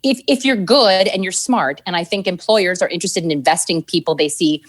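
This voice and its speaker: A woman in her forties from Minnesota, a TV news anchor, telling stories in an interview and acting out quotes with a laugh. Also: brisk. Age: 40s. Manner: animated storyteller, acts out quotes with lively pitch jumps; laughs easily while talking. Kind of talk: conversational interview.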